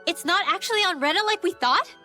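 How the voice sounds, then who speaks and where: Very high, bright girlish voice. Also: lively intonation, fast talker, faint music behind, full character voice. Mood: worried. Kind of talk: scripted video game cutscene acting.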